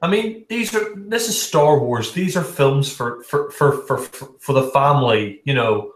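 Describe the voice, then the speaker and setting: A man's voice: medium pitch, lively intonation, a little slow, a little hiss or hum. Mocking man commentating in an echoey room. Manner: mocking, swears, repeats words. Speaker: fan commentator. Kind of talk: casual film commentary.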